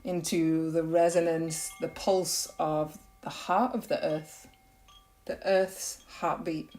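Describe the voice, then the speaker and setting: A woman yoga nidra teacher leading a guided meditation in a gentle, unhurried, soothing way with long pauses. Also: low-pitched, little pitch movement.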